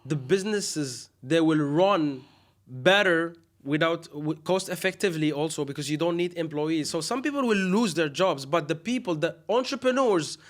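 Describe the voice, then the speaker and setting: A man's voice: fairly high pitch, normal speed. A man whose first language is Arabic, hosting an interview in casual chat, often restarting and searching for words. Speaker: podcast host.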